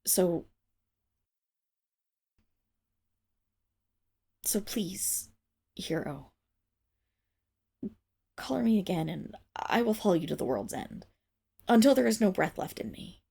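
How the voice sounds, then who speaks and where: A woman acts out a proud tsundere wolf-girl of noble birth in an intimate ASMR roleplay. Her voice is breathy and husky with a creaky edge, at an ordinary female pitch. She speaks in haughty, formal phrases that trip into stammers and sink toward whispers. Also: expressive, measured pace, pauses often, dark tone, exaggerated voice. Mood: pleading. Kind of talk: ASMR roleplay acting, addressed to the listener.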